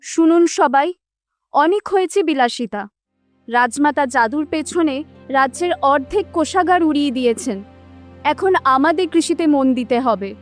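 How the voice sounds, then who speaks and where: A high-pitched young cartoon princess played by a woman, resolute and caring. Light music runs behind her fairy-tale dialogue. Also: measured pace. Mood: firm, commanding.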